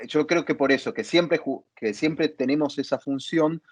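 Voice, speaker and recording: A man hosting a reflective conversation, thinking aloud in deliberate, halting phrases. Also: on the high side. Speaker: interview host, theatre dramaturg.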